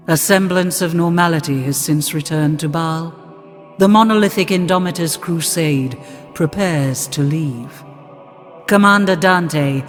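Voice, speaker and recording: Grave war chronicler for a game, really slow, long reverb, music swelling behind. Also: female voice, low voice. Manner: grave, deliberate. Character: solemn storyteller chronicling a grim far-future war. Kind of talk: scripted epic game cutscene narration.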